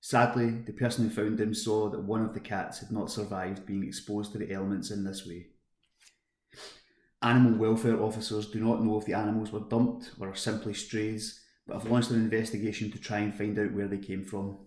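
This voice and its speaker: Male volunteer news reader, flat, even intonation, scripted delivery in a roomy home recording. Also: fairly low pitch, fairly quick. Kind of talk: scripted news reading for a talking newspaper.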